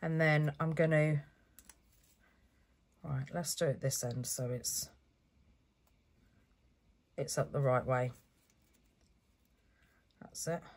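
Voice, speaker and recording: A woman's voice: deep voice, steady tone, slow, long pauses, darkish tone. Chatty woman, stuffy from a cold. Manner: chatty, self-correcting step-by-step explaining. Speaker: craft channel host. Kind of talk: relaxed craft tutorial narration. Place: home craft desk, indoors.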